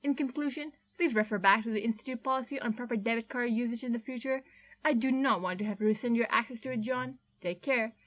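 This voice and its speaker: A woman reading out a boss's email in a formal, measured, politely menacing tone. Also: on the high side, a bit bright, rough recording. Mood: cool, formal.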